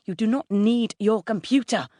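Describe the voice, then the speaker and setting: A woman voicing a furious mother at her wits' end in a story, with exasperated, emphatic shouting. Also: very high voice, huge pitch swings, slow, no room sound, clean studio sound, voice pushed up, exaggerated voice. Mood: hysterical fury.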